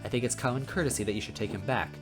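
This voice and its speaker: A man's voice: medium pitch, racing, over music, lightly acted. A man voicing a tired, matter-of-fact female police chief with a stern manner in an amateur story reading. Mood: weary, firm. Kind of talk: amateur story read-aloud.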